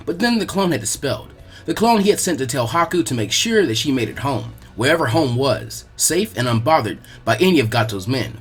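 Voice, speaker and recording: A man's voice: fairly high pitch, a bit bright, slightly rough. A man reading a scripted story aloud as a dramatic narrator. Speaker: narrator of fan fiction readings. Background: music.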